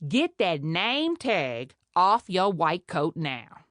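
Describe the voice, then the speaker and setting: A woman's voice: fairly low pitch, sing-song, slow pace, fairly bright tone, no room sound, studio quality, heavily acted. A woman voicing a brash, bossy Creole head nurse who scolds and teases in a drawl. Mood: scolding.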